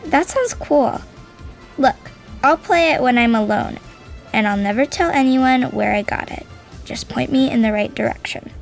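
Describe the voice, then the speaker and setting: A woman's voice: high-pitched, moderate pace, gravelly, faint music behind. A woman voicing a moody teenage girl who is a goth outsider, talking in a blunt, earnest, defensive way. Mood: pleading. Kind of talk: audio drama acting.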